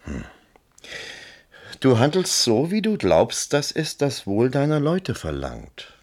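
Husky, swooping fort commander. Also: male voice, mid-range voice. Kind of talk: German radio play acting.

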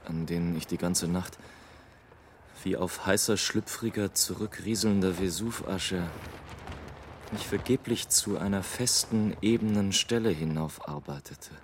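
An actor playing a melancholic, dreamy airship traveller in a German radio play, speaking in a poetic, theatrical monologue. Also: male voice, deep voice, slight background noise, lightly acted. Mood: brooding, haunted. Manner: slow, poetic, dramatic delivery with swells and hushed drops.

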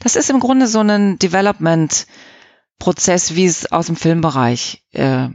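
A woman's voice: fairly low pitch, very animated, a little slow, darkish tone, dry recording, highs cut off. A middle-aged woman giving casual, reflective interview answers, thinking aloud in long, rambling explanations. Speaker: audio drama producer and editor, interviewee.

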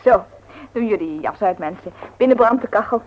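Lively, chatty young woman played by an actress. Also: mid-range voice, fairly quick, lo-fi sound, slight background noise, natural voice. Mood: welcoming, chatty. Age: young adult. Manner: chatty, eager, friendly. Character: lively sociable young woman receiving visitors at home. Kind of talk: Dutch radio play acting.

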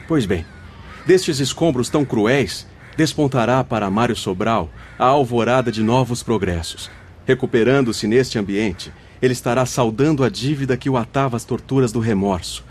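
A male actor plays a comforting, wise spirit guide in a radio play. He has a medium-pitched voice and instructs in formal, measured, patient phrases. Music plays behind him. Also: a little hiss or hum, natural voice. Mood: firm reassurance. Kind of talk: radio drama acting. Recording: music under the voice. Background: background music.

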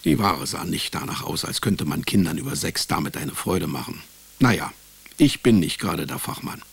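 A man's voice: low voice, big pitch swings, normal speed, vocal fry, breathy edge, heavily acted. An actor playing a dry, laconic male private detective narrating his own case in a radio drama. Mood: wry. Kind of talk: scripted first-person radio drama narration.

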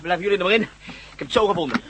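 An actor playing a blunt, stubborn bush pilot, proud of his old plane, giving hurried orders in a radio play. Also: sits high, background noise, lightly acted. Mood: alarmed, indignant.

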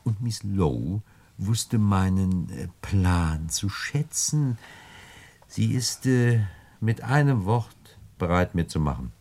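An actor playing a suave, condescending gentleman crook in a radio play, with florid, over-polite, pedantic phrasing. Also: lowish voice, animated, takes it slowly, heavily acted. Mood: scheming, silky. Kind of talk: 1960 German radio play acting.

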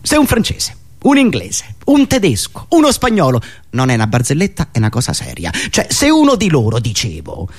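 A man performing a theatrical satirical monologue, ironic, with dramatic pauses and emphatic swings from hushed asides to raised delivery. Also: mid-range voice, huge pitch swings, breathy edge. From Italy. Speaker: writer and performer of a satirical radio monologue show.

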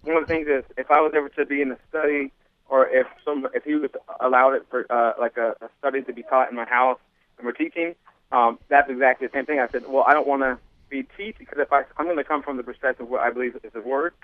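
A man's voice: mid-range voice, steady tone, fast, no echo. A man calling in to a radio show by phone, chatting earnestly and deferentially in loose, colloquial phrasing. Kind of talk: casual earnest chat. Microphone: phone call. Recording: tinny narrowband phone line.

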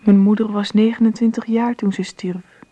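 Actress as mellow, even-toned female narrator. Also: medium pitch, moderate pace, dry recording, lightly acted. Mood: sober, reflective. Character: woman soberly recalling her childhood bereavement, as narrator. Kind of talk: scripted radio play narration. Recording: dull radio recording with faint noise.

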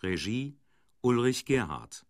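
A man reading scripted broadcast credits as a radio announcer in a formal, measured way. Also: medium pitch, sing-song, no echo, studio quality. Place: radio studio.

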